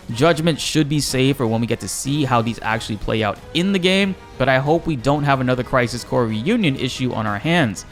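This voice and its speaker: A man's voice: fairly high pitch, a bit bright. A man giving informal, enthusiastic gaming news commentary as a YouTuber, addressing his viewers directly. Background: faint music.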